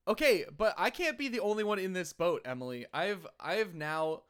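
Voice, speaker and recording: A man's voice: high voice, lively intonation, normal speed, a bit bright, smooth. A man co-hosting a comedy podcast, talking casually in a playful, joking and somewhat hesitant way. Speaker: podcast co-host.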